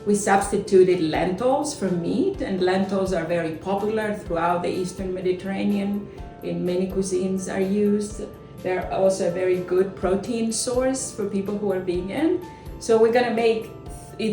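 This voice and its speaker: A middle-aged woman from Crete, calmly explaining the steps of a home cooking demonstration in a kitchen. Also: mid-range voice, a little slow. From Crete, Greece. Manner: explains steps plainly, small slips in grammar. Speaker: chef and company founder. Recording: echoey kitchen, far from mic, faint music.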